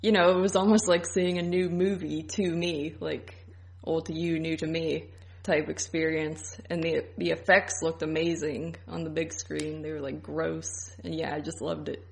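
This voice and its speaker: A young woman with a slightly low voice co-hosts a podcast. Her delivery is casual and conversational, like informal chat with a friend. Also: flat delivery, moderate pace, gravelly. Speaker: podcast co-host.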